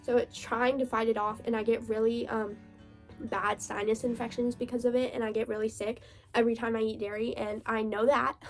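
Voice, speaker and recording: A young woman chatting casually about books, her voice hoarse, gravelly and stuffed up from a cold, gushing and laughing often. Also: fairly high pitch. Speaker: book vlogger. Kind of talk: casual reading wrap-up chat.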